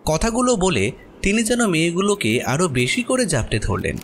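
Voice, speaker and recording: A man's voice: high-pitched, very animated, normal speed, a little hiss or hum. A man narrating a horror story expressively from a script.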